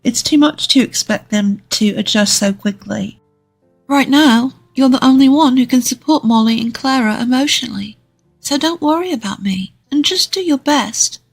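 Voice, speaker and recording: A storyteller voicing a cheerful, kind young wife, gently teasing and reassuring. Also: high-pitched, moderate pace, vocal fry, faint music behind, pitched above the performer's own voice. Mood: gentle, reassuring.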